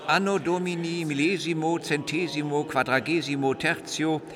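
Radio drama: male actor as solemn reciting churchman, fairly high voice, cathedral-like reverb. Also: fairly even intonation, moderate pace, a bit bright, natural voice. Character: solemn medieval cleric reciting a Latin history. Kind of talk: radio play acting. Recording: long hall reverb.

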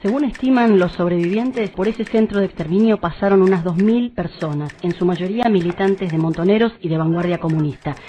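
Low-voiced woman presenter, dull recording. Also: a little hiss or hum. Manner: didactic, poses questions and answers them. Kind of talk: explanatory report on a human rights case.

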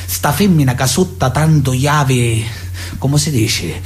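An actor playing an exasperated, plain-spoken Sicilian villager who grumbles colloquially in a theatrical radio monologue. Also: male voice, medium pitch, unhurried, close to an everyday voice. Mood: wry grumbling.

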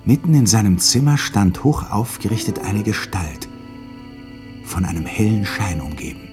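A grave male storyteller narrates a vintage radio play. His voice is very deep. Soft music plays faintly behind the scripted narration. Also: big pitch swings, moderate pace. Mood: grave, measured. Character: grave male storyteller of a ghostly Christmas tale.

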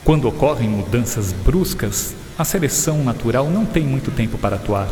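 A man acts out a learned gentleman naturalist in a radio play, drenched in long, echoing reverb. He has a Brazilian accent and a medium-pitched voice. He explains things patiently, in measured teacherly phrases. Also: lively intonation, natural voice. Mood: patiently explaining. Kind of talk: radio drama acting for young listeners. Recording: echoey, long hall reverb.

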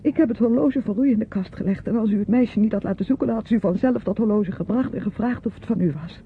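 An actress playing a guarded, weary young niece in a radio play, speaking with restraint. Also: female voice, medium pitch, fast, close to an everyday voice. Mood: weary confession. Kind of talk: radio drama acting. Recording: dull, noisy old radio play recording.

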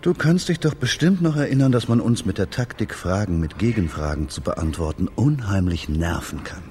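Actor as a gruff, very deep-voiced cop. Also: male voice, expressive, normal speed, a little mellow, slight background noise, natural voice. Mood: sardonic, matter-of-fact. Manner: gruff, sardonic. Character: gruff, cynical male police detective, weary of bosses. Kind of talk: naturalistic radio play acting.

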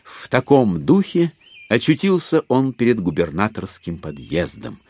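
A man's voice: medium pitch, big pitch swings, unhurried, gravelly, warm tone, no echo, heavily acted. A man performing as a wry, ironic storyteller, reading literary narration in an audio drama. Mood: wry, animated.

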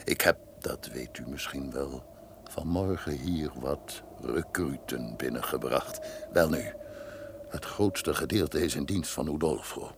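A conspiring count in a radio play, with a rumbling, very low voice and heavy creak. He insinuates and schemes with deliberate, resentful menace. The old recording carries some noise. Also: full character voice. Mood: plotting. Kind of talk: Dutch radio drama acting.

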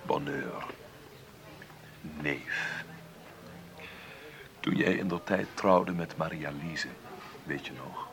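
An actor in a radio play as a wealthy, bitter middle-aged businessman, gravelly, sardonic and condescending in a deliberate way. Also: sits low, a bit bright, full character voice. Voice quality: gravelly, creaky.

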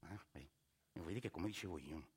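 A man's voice: sits low, fairly quick, background noise, natural voice. An actor playing an exasperated, insistent working-class Roman family man in a radio play. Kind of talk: radio theatre acting.

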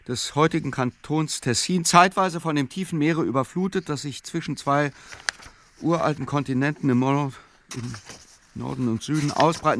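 An actor playing a brooding, solitary man who obsessively recites facts and lists in a radio play, deliberate and fragmented with hesitations. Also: medium pitch, a bit bright, lightly acted. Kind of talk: literary radio play reading.

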